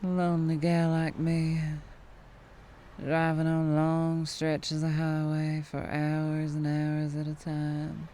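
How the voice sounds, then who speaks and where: A woman's voice: low-pitched, completely flat delivery, mellow, a little hiss or hum. A woman voicing a playful, predatory vampire trucker in an ASMR roleplay, with a Southern US accent and a teasing drawl. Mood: playful, menacing. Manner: slow, teasing drawl with frequent chuckles and lingering pauses.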